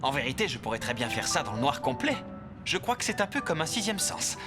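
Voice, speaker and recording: A man's voice: medium pitch, very animated, a little fast, close to an everyday voice. A man voicing an amiable, boastful robot inventor in a French cartoon dub, explaining confidently. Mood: confident boasting. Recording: music behind.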